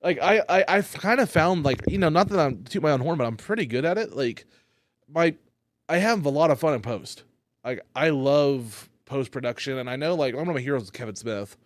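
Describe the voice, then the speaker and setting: A man, a stand-up comedian, chatting loosely on a podcast while high, rambling and cursing often. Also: animated, a little fast. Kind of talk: casual podcast chat.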